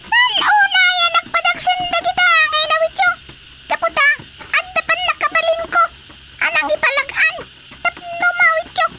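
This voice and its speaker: An actor voicing a tiny, bossy creature of an underground kingdom in radio comedy, with a squeaky, childlike voice and a commanding manner. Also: steady tone, normal speed. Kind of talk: radio comedy drama acting. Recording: loud noise behind the voice.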